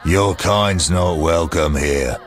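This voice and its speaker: An actor playing a surly, grasping innkeeper in a fantasy video game, brusque and unwelcoming. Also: male voice, deep voice, takes it slowly, faint music behind, a faint crowd. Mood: hostile. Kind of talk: video game dialogue acting.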